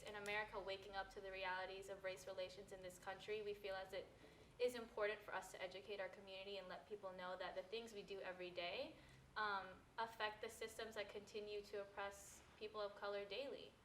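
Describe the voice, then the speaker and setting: A woman's voice: mid-range voice, little pitch movement, fast, a bit bright, slight background noise. An eighteen-year-old woman from California moderating a casual panel discussion, talking loosely with lots of filler words. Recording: roomy recording.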